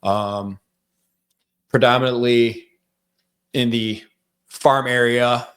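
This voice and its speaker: A man with a Michigan accent, a guest telling his life story in a relaxed, rambling way with lots of fillers. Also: medium pitch, slow, clean tone. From central Michigan. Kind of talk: casual interview storytelling.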